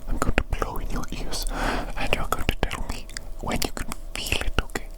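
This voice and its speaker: Whispering woman in an ASMR doctor roleplay. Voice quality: breathy, unvoiced whisper. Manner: slow, soothing, repeats short phrases. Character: evil doctor tending a big creature.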